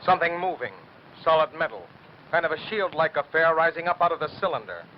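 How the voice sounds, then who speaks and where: A man's voice: fairly high pitch, lively intonation, quick pace, lightly acted. A man playing a frightened on-the-scene reporter in a 1930s radio drama, describing events with breathless urgency. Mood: alarmed awe. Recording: dull lo-fi broadcast, faint noise.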